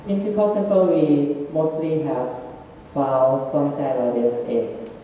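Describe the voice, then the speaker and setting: A man walks an audience through his slides in halting, non-native English, and his grammar often breaks down. His voice is dark and mellow. He is picked up from a distance in a big, echoing hall. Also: on the high side, steady tone, a little hiss or hum. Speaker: student presenter. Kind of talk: slide presentation. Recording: echoey hall, far from mic.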